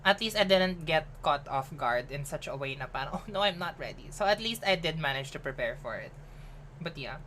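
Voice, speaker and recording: A woman's voice: fairly low pitch, a bit bright, slight background noise. A woman, chatting casually on a game live stream, upbeat, mixing in Tagalog and laughing often. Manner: chatty and upbeat, mixes English with Tagalog, laughs often, greets chat by name.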